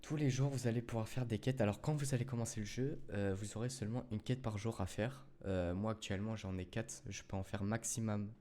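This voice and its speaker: A man's voice: lowish voice, fairly even intonation, a little fast, few pauses, dark tone, a little hiss or hum. Rambling French gaming tutorial guy. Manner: rambling, full of fillers and self-corrections, addresses viewers as "les gars". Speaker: gaming youtuber. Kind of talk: casual gameplay tutorial.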